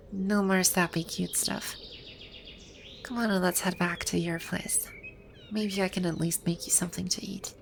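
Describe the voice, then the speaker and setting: Woman playing smug, low-voiced game villainess boss. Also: a little hiss or hum. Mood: flustered, playful. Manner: smug, teasing, soft-spoken with breathy asides. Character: teasing, proud video game villainess boss brought to life, secretly vulnerable. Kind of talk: intimate ASMR roleplay to the listener. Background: birdsong and outdoor ambience sound effects.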